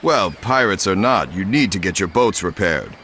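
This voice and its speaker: An actor playing a shrewd, confident ancient war captain in a game cutscene, assured and matter-of-fact. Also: male voice, deep voice, expressive, normal speed, bright, forceful tone, a little hiss or hum. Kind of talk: dramatic game cutscene acting. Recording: faint music behind the voice.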